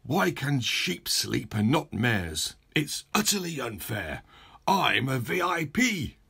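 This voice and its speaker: Self-important storybook mayor, whiny and indignant, husky edge, as a guy puts him on. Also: on the low side, big pitch swings, unhurried, fairly bright tone, exaggerated voice. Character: pompous self-important male town mayor.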